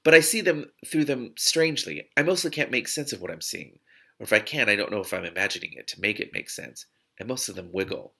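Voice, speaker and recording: Man reading as blunt, wry young heroine, creaky. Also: fairly low pitch, animated, fairly quick, sharp tone, heavily acted. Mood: uneasy, confiding. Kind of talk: audiobook-style character dialogue.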